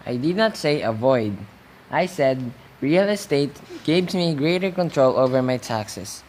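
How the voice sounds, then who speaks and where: A man playing a calm real estate investor who explains his views didactically in a scripted student radio play interview. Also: medium pitch, unhurried, lightly acted. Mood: explaining. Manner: didactic, repeats phrases for emphasis. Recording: faint background noise.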